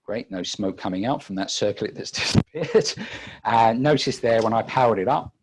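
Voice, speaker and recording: A British man giving an unscripted technical demonstration as a webinar presenter, halting often with repeated words and filled pauses. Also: lowish voice, background noise. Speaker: webinar presenter and audio engineer.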